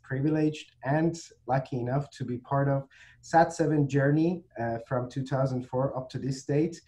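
A man from Iran in his thirties, introducing himself on a video call from a small office, measured and pausing often with fillers. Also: mid-range voice, dark tone. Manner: measured, with frequent pauses and fillers. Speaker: creative manager and TV presenter. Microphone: video call webcam. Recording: faint background noise on a video call.

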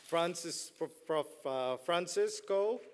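A man's voice: fairly high pitch, lively intonation, slow pace, slight background noise. A middle-aged man speaks into podium microphones, addressing graduates with a slightly raised voice. He drops playful jokes at the crowd between points. The sound trails off in the long reverb of a large hall. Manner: joking, playful asides to the audience. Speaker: business school dean giving a commencement speech. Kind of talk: commencement address.

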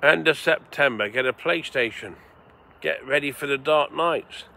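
A man's voice: medium pitch, moderate pace, vocal fry, bright, forceful tone. An English man in his forties, rambling casually to viewers at night, swearing freely. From England. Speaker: vlogger. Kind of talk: casual rambling livestream chat. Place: outdoors at night by the seaside.

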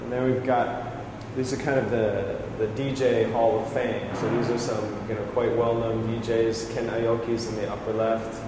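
A man's voice: fairly low pitch, flat delivery, normal speed, hardly any pauses. A man in his forties curating a small gallery, explaining the photos in a loose, enthusiastic way with many fillers, on a lapel mic. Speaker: gallery curator giving a tour. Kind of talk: casual walkthrough explanation. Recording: echoey room with background noise.

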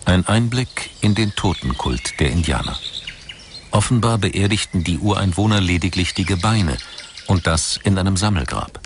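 Deep-voiced male documentary narrator, expressive intonation. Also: moderate pace, background noise. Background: background music.

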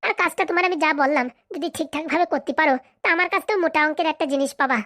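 A scheming village madam from a comedy cartoon, acted by a woman, speaks in a high, piercing pitch. Her rural Bengali speech comes quickly, blunt and commanding, as she bosses around her hired help. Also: fairly bright tone, no room sound, clean studio sound. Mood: scheming, demanding. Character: bossy scheming village madam hiring a corrupt policeman. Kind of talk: comic cartoon dialogue.

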